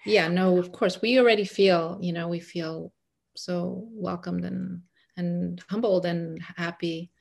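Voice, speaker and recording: A middle-aged woman from Egypt talks as a guest in a relaxed interview. Her voice sits low and turns gravelly at phrase ends, and she talks in an earnest way with fillers and restarts. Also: measured pace. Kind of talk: casual conversational interview.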